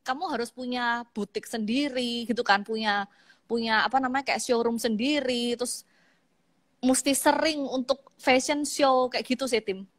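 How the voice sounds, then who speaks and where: A woman in her thirties from East Java speaks with a Javanese accent, sitting for a casual live-stream interview. Her voice sits fairly high and sounds dry and close. She talks loosely, laughing easily and stumbling through fillers as she explains herself. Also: fairly even intonation, normal speed, dry recording. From Malang, East Java, Indonesia. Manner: chatty, laughs easily, many fillers and restarts. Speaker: guest, makeup artist. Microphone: phone on an online live stream.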